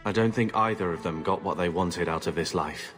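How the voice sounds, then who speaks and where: An actor playing a gruff young warrior and brooding younger brother in a fantasy game, blunt in manner. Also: male voice, sits low, brisk, a bit bright, long reverb tail, soft background music. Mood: brooding, wistful. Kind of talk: fantasy video game acting.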